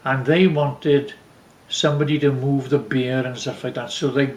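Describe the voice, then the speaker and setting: An older man from Wales telling stories from his life casually, haltingly, repeating words as he recalls them. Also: mid-range voice, steady tone. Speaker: father telling family stories. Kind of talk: casual storytelling, reminiscing. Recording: roomy, echoey home recording.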